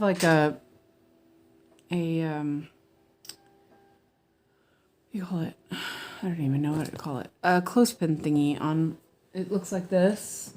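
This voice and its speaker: A woman's voice: lowish voice, darkish tone. An American woman chatting in a rambling, meandering way with her viewers as she stitches during a casual livestream.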